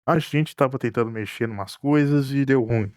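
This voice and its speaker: A man acts out a cruise ship captain looking after his own career. His mid-pitched voice has a Brazilian accent and a colloquial, matter-of-fact delivery, recorded dry for animation. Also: normal speed, darkish tone, no room sound, natural voice. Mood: confessing. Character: teasing, self-protective cruise ship captain. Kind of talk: animated murder-mystery dialogue.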